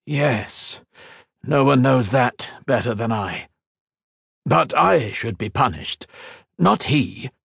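Male narrator voicing a high, strained, pleading scientist, lively pitch, slow, dry close read. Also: creaky, husky, no room sound, exaggerated voice. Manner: pleading, strained, rising to outbursts. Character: anguished male scientist pleading for his creation.

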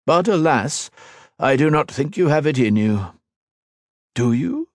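A gloating, cruel emperor speaks in a raised, grandiose voice, mocking and taunting his captive, as a male audiobook narrator puts him on. His words come loud and lofty, dripping with scorn. The sound is close and dry. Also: fairly high pitch, lively intonation, unhurried, no room sound, voice pushed up.

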